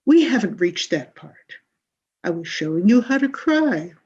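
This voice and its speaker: A woman's voice: medium pitch, very animated, measured pace, darkish tone, breathy edge. A woman playing a grandiose, exacting drama coach in a 1940s-style radio comedy, with theatrical, emphatic delivery. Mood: exacting, dramatic. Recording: dull, muffled video-call audio.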